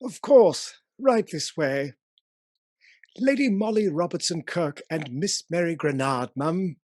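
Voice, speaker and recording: A woman's voice: on the low side, animated, takes it slowly, a little mellow, no room sound, clean studio sound. A woman playing a deferential household servant in a radio play, formal and dutiful.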